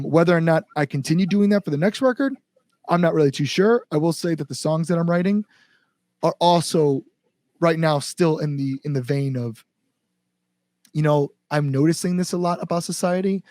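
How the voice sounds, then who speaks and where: A man's voice: fairly high pitch, a little mellow, dry recording. A man speaking casually as an interview guest, earnest and rambling, repeating words for emphasis. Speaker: band frontman and songwriter, podcast guest. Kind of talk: casual podcast interview chat.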